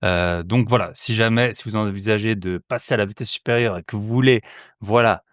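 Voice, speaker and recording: A man's voice: fairly low pitch, a little fast, no echo, studio quality. A man hosting an investment podcast in French, reading a promo and then welcoming a guest, with many filler hesitations. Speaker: podcast host. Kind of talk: podcast ad read and interview intro.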